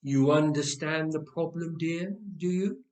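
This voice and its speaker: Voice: medium pitch, unhurried, darkish tone, reverberant, close to an everyday voice. The narrator voicing a senior woman professional mourner who is prim, genteel and condescendingly patient in a comic story. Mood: prim gravity.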